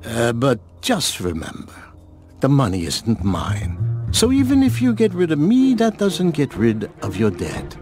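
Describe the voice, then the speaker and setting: An actor playing a shrewd older loan shark in a video game, measured and courteous with a threatening edge. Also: male voice, medium pitch, huge pitch swings, darkish tone, soft background music. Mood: amused warning. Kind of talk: video game cutscene acting.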